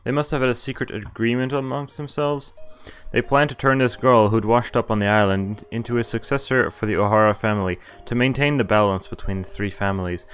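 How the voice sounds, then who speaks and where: A man's voice: medium pitch, fairly even intonation, fairly quick, a bit bright, clean tone. A young man streaming a visual novel, reading its text aloud and adding casual commentary. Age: young adult. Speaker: game streamer. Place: indoor room, webcam stream. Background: game music.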